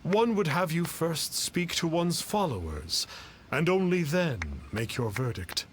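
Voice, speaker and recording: An actor playing a pompous, grandiose self-styled immortal master in a video game, speaking in a formal, archaic, theatrical manner. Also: male voice, on the high side, animated, a little slow, slight background noise. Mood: boastful. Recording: game music behind the voice.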